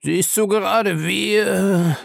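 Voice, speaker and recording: A man voicing a shady, pushy male schemer in an audiobook, insistent, ironic and scornful, with a gravelly voice. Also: mid-range voice, lively intonation, normal speed, no room sound, clean studio sound, pitched above the performer's own voice. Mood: mock admiring. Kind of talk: audiobook character dialogue.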